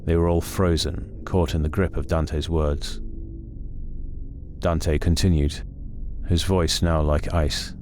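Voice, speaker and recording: A man narrating a scripted horror story in a measured, suspenseful, dramatic way. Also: rumbling low, flat delivery, a little hiss or hum. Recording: loud music under the voice. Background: music.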